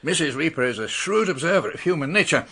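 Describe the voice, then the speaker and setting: A prim upper-class English gentleman in a radio play. The voice is high and sharply bright, with a creaky husk. He speaks hesitantly, stuffy but easily tickled. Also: expressive, fast talker, exaggerated voice. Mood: tickled, embarrassed. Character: stuffy English knight with a giggly streak. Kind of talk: radio drama acting.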